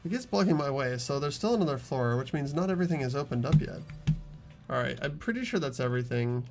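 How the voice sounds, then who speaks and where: Creaky young man hosting a game playthrough. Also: medium pitch, animated, fast, over music. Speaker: host of a group game playthrough. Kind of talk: reading game narration and casual commentary.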